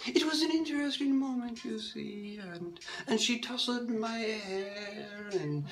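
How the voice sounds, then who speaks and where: A woman's voice: on the high side, lively intonation, unhurried, faint music behind. A woman telling a comic story in a stagey put-on voice, with mock-formal phrasing, stammered repetitions and whispered asides. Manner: puts on a stagey persona accent.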